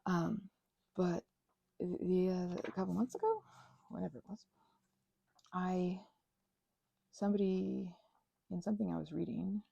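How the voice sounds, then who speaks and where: A woman's voice: on the low side, unhurried, vocal fry, pauses often, dark tone, slightly rough, no echo, lo-fi sound. A woman chatting casually to viewers in a rambling, effusive way, often repeating words for emphasis. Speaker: crafting vlogger and ASMR creator.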